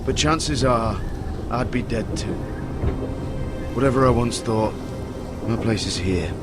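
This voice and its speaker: A man's voice: medium pitch, takes it slowly, loud music behind the voice, natural voice. An actor playing an embittered, grieving young fighting man in a video game drama, speaking earnestly and broodingly. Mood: rueful, resigned. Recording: loud rain and thunder ambience behind.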